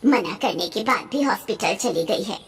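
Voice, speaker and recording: A woman's voice: medium pitch, expressive, normal speed, slightly rough. A woman voicing a nagging, disapproving mother-in-law in a cartoon story, scolding and complaining.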